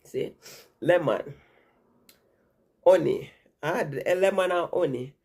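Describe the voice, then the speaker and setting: A woman vlogger with a cold talks to her viewers in a rambling, chatty way and keeps repeating herself. Her voice is husky and gravelly, and her nose sounds stuffy. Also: low voice, slow, a little mellow. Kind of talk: casual chat to viewers.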